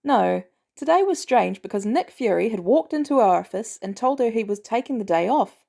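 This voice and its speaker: A woman reading a fan fiction story aloud as a podfic narrator, with expressive storytelling. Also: a little high, moderate pace, vocal fry. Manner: expressive storytelling, animated delivery. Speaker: podfic reader.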